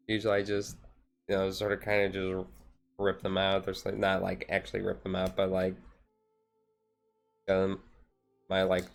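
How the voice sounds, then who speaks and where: Slow, low-pitched, even-toned man chatting casually. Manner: rambling, hesitant, laid-back. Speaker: live streamer. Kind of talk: casual chat while doing a card-game activity.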